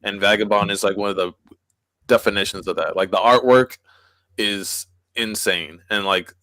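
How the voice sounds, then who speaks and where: A man's voice: lowish voice, steady tone, normal speed, bright, forceful tone, no echo, rough recording. A man co-hosting a podcast, talking enthusiastically and rambling with many "like"s. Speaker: podcast co-host. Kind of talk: casual chat.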